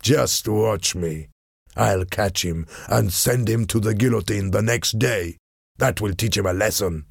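A man acts out a cunning French ambassador in his forties for a storybook. He is cold and smooth-tongued, drawing his words out slowly in a low, creaky voice with quiet menace. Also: lively intonation, no room sound, clean studio sound, exaggerated voice. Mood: cold, menacing.

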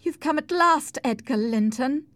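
A woman's voice: high voice, a little slow, fairly bright tone, dry recording, voice pushed up. A woman voicing a feverish, self-pitying and defiant young wife on her deathbed in an audiobook, with plaintive, melodramatic delivery. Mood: bitter, defiant. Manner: melodramatic, wailing. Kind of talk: audiobook character acting.

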